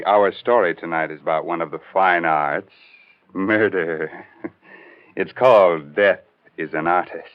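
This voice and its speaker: An actor playing a ghoulish, wisecracking horror host with a theatrical, mock-courteous manner in 1940s radio style. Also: male voice, low-pitched. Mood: macabre mischievous. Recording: muffled 1940s radio recording with music behind.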